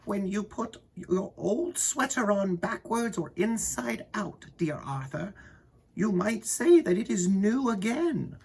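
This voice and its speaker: A man voicing a kindly, smiling old grandmother in a storybook, speaking gently and thoughtfully. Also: high voice, expressive, takes it slowly, vocal fry, slight background noise, pitched above the performer's own voice, exaggerated voice. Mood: gentle, musing.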